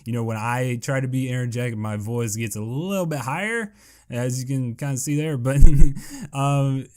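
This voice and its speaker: Deep-voiced young man, rambling casual chat. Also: animated, moderate pace, a little mellow. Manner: rambling, heavy with fillers and "I guess you could say". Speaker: gaming YouTuber answering viewer questions.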